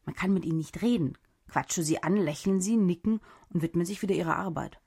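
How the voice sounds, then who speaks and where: An actress playing a brash, sharp-tongued special agent in a cyber thriller radio drama, husky and creaky, with a sarcastic, commanding manner. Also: female voice, on the low side, brisk. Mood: matter-of-fact. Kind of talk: German radio drama acting.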